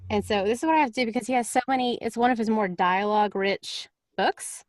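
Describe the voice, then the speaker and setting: A woman's voice: fairly high pitch, fast, no room sound, studio quality. A woman talking casually and chattily as an audiobook narrator on a panel.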